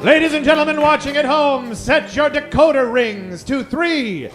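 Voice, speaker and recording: A man announcing and narrating an old-time radio serial, theatrical, with dramatic pauses. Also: extremely high pitch. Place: live stage performance. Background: music.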